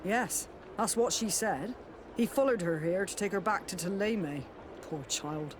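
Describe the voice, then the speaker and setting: An actor playing a kindly, sympathetic sailor in a video game, gentle and obliging as he talks. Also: high-pitched, unhurried. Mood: concerned. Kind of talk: video game cutscene dialogue. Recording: clear noise, outdoor ambience behind.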